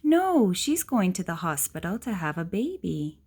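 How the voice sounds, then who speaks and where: A woman reads a children's picture book aloud in a gentle, explanatory way, asking questions and adding asides for young listeners. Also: fairly low pitch, very animated, unhurried, slightly rough.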